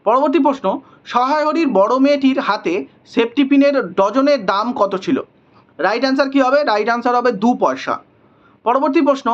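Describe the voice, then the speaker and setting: A teacher giving a question-and-answer exam lesson in a didactic way, repeating questions and answers for emphasis. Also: medium pitch. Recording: slightly dull lo-fi sound.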